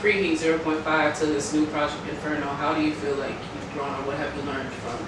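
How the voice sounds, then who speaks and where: An American man with a slightly high voice hosts a relaxed interview in informal slang, agreeing along as he asks questions. He sounds far from the mic in a very echoey, noisy space. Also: brisk. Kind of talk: casual conversational interview.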